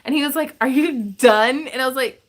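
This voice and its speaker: A giggly, talkative woman answers viewer questions in a home craft room. Her voice sits a touch high and tumbles along with plenty of fillers. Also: fairly quick. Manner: chatty, giggly, lots of fillers. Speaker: craft channel host. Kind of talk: livestream Q&A chat.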